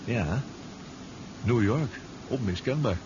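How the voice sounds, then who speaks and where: A man's voice: medium pitch, animated, takes it slowly. Fretful man, noisy old radio serial. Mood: tense, hesitant. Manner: hesitant, fretful. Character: anxious, doubting male companion on a secret mission. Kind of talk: 1970s Dutch science-fiction radio play acting. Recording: dull, noisy 1970s radio drama recording.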